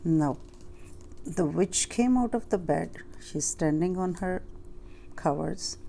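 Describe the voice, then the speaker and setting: A woman reads a children's picture book aloud in a fairly low voice with noticeable creak. She goes very slowly and pauses to explain things simply to young listeners. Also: lively intonation, mellow. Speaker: storyteller reading to children.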